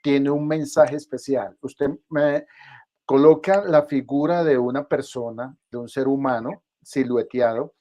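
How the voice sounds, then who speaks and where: Effusive male interviewer, halting speech. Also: mid-range voice, fairly even intonation, warm tone. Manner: effusive, halting with repeated words. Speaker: interview host. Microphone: video call.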